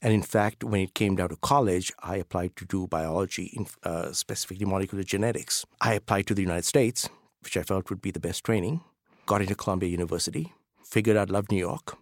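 A middle-aged man from Sri Lanka, a professor answering interview questions in a relaxed, hesitant way with many fillers. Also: fast, no room sound, studio quality. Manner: hesitant, many fillers, lively pitch jumps. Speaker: professor, guest.

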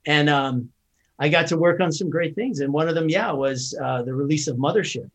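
Earnest Canadian man, slightly high voice. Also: normal speed, breathy edge. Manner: earnest, explains at length with repeated restarts. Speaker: guest, cannabis company founder. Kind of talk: casual podcast conversation.

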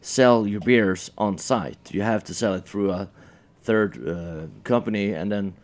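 A man's voice: on the low side, a little slow. A man in his thirties from Norway with a Norwegian accent, a brewery guest chatting casually and joking about himself. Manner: joking, self-deprecating. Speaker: brewery sales manager guest. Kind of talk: casual interview chat.

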